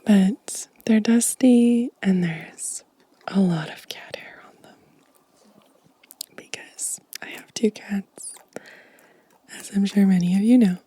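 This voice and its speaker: A woman whispering gently and softly in an ASMR show-and-tell style, breathy and unhurried. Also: medium pitch, dry recording. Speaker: ASMR video creator.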